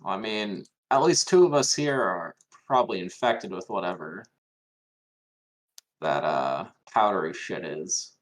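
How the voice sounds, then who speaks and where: A man's voice: sits low, animated, a little slow. A man in a tabletop roleplay, chatting in character in a blunt, sardonic, sweary way. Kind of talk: casual in-character roleplay chat.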